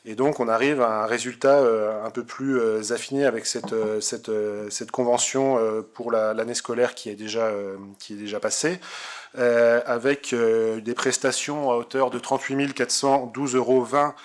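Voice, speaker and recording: A man giving a formal, semi-improvised council report in a halting, hesitant way. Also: medium pitch, steady tone. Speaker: city councillor.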